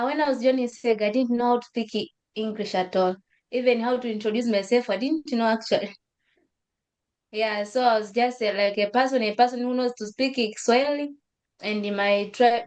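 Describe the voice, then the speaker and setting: A young woman from Africa, sharing her story on a webinar call in halting English with many fillers and repeated phrases. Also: fairly high pitch, fairly even intonation, a little mellow. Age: young adult. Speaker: school graduate. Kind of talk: webinar testimony.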